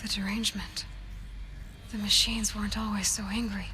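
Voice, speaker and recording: A woman's voice: mid-range voice, level tone, moderate pace, bright, forceful tone, close to an everyday voice. A woman playing a determined, inquisitive young machine hunter in a video game, musing as if to herself. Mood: puzzled, serious. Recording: game ambience noise behind.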